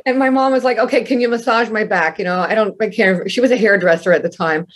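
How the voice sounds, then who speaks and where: A woman in her fifties, scratchy from a night of karaoke, telling stories in animated casual chat and swearing freely. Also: mid-range voice, fast talker. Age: 50s. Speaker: guest masseuse. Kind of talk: casual storytelling.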